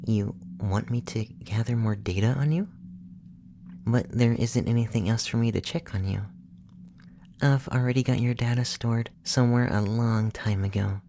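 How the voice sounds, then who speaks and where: A performer voicing a clinical, teasing alien examiner in an ASMR roleplay, speaking softly and often slipping into a whisper. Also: medium pitch, slight background noise, natural voice. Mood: incredulous. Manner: soft-spoken, often whispery, measured, clinical. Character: alien examiner abducting a human, clinical, teasing, reluctantly indulgent. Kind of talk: ASMR roleplay, soft close talk drifting into whispers.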